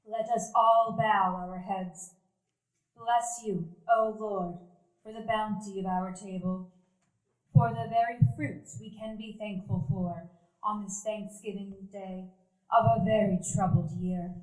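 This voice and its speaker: A woman's voice: medium pitch, fairly even intonation, close to an everyday voice. An actress playing a stern, proper woman of the house in a live stage radio play, speaking firmly and commanding. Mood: solemn. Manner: slow, firm, commanding. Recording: echoey live stage recording.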